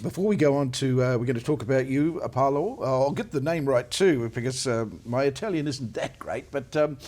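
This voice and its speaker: Older guy hosting a tv chat, lots of yeah and wow, middle pitch, lapel mic. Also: fairly quick, a little mellow. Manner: chatty, many short yeahs and wows. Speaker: TV show host. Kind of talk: casual TV interview chat. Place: TV studio set, seated at desk.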